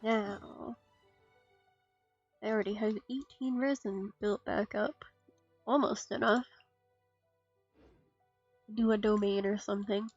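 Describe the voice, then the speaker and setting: A woman streams a game in a slow, husky voice. Her commentary is casual. The sound is slightly dull, with faint music behind her. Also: mid-range voice, fairly even intonation, darkish tone. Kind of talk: casual livestream gameplay commentary.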